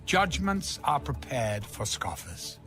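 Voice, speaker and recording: Slow, expressive man reading scripture over music. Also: mid-range voice. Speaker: audio Bible reader.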